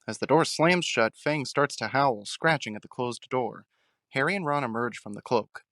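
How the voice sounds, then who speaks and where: Dry, mid-pitched male narrator. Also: normal speed, a bit bright, no room sound. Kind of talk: scripted narration of a fan audio drama. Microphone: close mic, dry recording.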